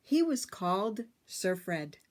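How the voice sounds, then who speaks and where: A woman reading a picture book aloud to children, with dramatic, emphatic phrasing and drawn-out words. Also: medium pitch, very animated.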